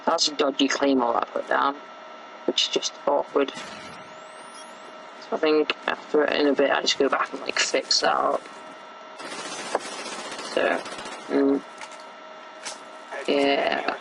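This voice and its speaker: Teen boy, sore gravelly throat, flat rambling game commentary, faint hiss behind. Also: mid-range voice, takes it slowly, long pauses, rough recording. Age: teens. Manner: rambling, many fillers and restarts. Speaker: gameplay commentator. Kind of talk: casual gameplay commentary.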